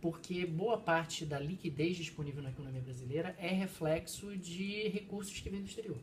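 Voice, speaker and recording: A man's voice: fairly high pitch, normal speed, slight background noise. A man, a professor co-hosting a casual chat, who explains economics in a lecturing way. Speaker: co-host, professor.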